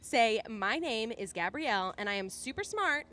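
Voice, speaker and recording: Bright-voiced young woman, awkward and teasing. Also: a little high, sing-song. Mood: playful. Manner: halting, nervous, playful. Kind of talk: naturalistic audio drama acting. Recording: faint background noise.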